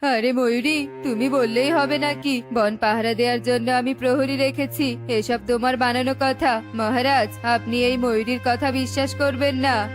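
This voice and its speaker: A woman's voice: on the high side, steady tone, moderate pace, natural voice. A woman playing a self-important male bird of authority in a children's cartoon, talking emphatically over music. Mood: dismissive. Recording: music behind the voice.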